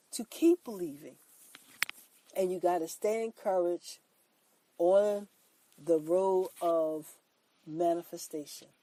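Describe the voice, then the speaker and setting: A woman's voice: medium pitch, warm tone, no room sound, low-quality recording. A woman hosting a motivational faith podcast, sounding congested from a cold, talking earnestly and repeating her points for emphasis. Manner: earnest, repetitive, emphatic preaching; affirming herself with "that's right". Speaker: podcast host.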